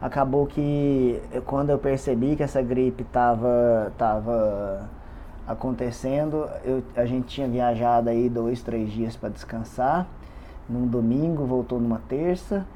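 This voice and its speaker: A man's voice: mid-range voice, fairly even intonation, a little slow, dark tone, a little hiss or hum. A man with a stuffy, congested voice, talking casually to camera about his fitness challenge and repeating words for emphasis. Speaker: vlogger documenting a fitness challenge. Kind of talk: casual confessional vlog.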